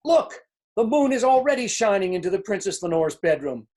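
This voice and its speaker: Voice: medium pitch, sing-song, a little fast, darkish tone. An amateur reader playing an anxious, gloomy, demanding king in an emphatic storybook stage reading. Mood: alarmed. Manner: exasperated, emphatic, mournful.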